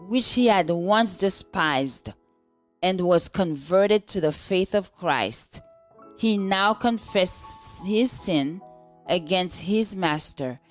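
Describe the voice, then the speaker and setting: A woman reading a scripted religious devotional aloud as narration. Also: medium pitch. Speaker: devotional reader.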